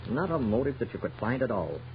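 Fast-talking gruff police chief. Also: male voice, mid-range voice, big pitch swings. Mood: weary, gruff. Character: harried, gruff police chief under pressure. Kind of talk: 1930s radio acting. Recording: noisy, dull 1930s radio recording.

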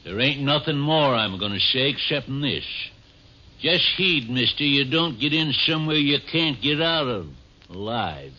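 An actor playing a cranky, suspicious old man with a husky voice and folksy, ungrammatical speech, in 1950s radio drama style. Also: medium pitch, animated, normal speed, noisy background, natural voice. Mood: ominous warning. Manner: folksy, ungrammatical drawling dialect. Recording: muffled 1950s radio recording.

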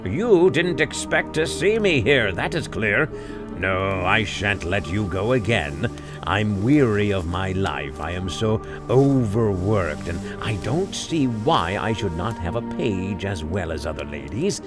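A man's voice: on the low side, sing-song, measured pace, over music. A man voicing a frightful giantess, weary, bossy and scheming, in a theatrical storybook reading. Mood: gleeful, bossy. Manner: theatrical, commanding.

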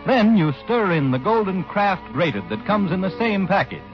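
A man's voice: high-pitched, big pitch swings, normal speed. An American man reading scripted advertising in a 1940s radio announcer's style. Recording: dull vintage radio recording with music. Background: music.

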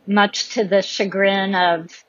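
Academic lady guest, assertive, talks real slow, muffled dry lo-fi sound. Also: medium pitch, level tone, fairly bright tone, no echo. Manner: assertive and measured. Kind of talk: interview answer.

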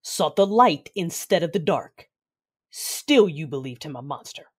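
Proud, vengeful Sith commander played by a woman, formal and measured, narrating her own sci-fi audio drama with authority. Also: deep voice, animated, darkish tone, breathy edge, heavily acted. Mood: angry. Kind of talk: first-person audio drama narration and dialogue.

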